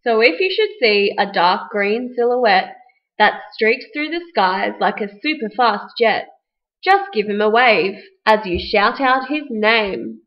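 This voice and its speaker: A woman's voice: medium pitch, expressive, slow, dull audio. A woman narrating a rhyming children's story for a puppet show, reading from a script.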